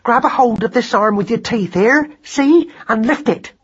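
Man playing female mouse, storybook, high-pitched, bossy, anxious, rustic grammar, slightly husky. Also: animated, moderate pace, fairly bright tone, dull audio, heavily acted. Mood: bossy, urgent. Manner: rustic ungrammatical speech, scolding and fussing. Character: frantic motherly female mouse, bossy, fretful country wife.

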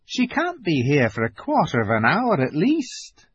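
A man voicing a brisk, unflappable, motherly female beaver in a storybook, with cosy, homely, practical talk. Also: a little high, huge pitch swings, slightly rough, dry recording, muffled recording, voice pushed up, heavily acted. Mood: brisk, calm.

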